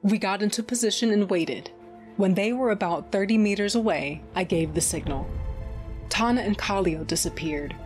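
A woman's voice: medium pitch, normal speed, a little mellow, soft background music, natural voice. A woman voicing a tough, sardonic fighter with a cybernetic eye who narrates in the first person, blunt and profane with dry humor. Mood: gruff, wry.

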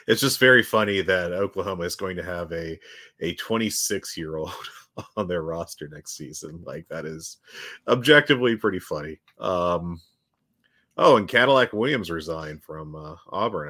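A man co-hosting a podcast with a husky voice as he gets over a cold, rambling and joking with lots of ums and uhs. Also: low voice, moderate pace, bright, forceful tone. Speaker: podcast co-host. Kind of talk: casual chat.

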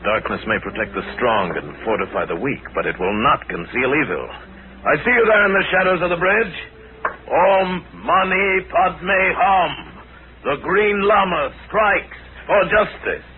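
An actor playing a resolute, commanding gentleman crime fighter, formal and dramatic, in 1940s radio style. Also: a little high, animated, a little slow. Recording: tinny, hissy 1940s radio recording.

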